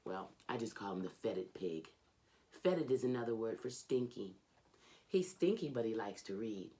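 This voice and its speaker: A woman reading a children's storybook aloud as host, with theatrical, emphatic delivery and drawn-out phrases. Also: a bass voice, lively intonation, dark tone.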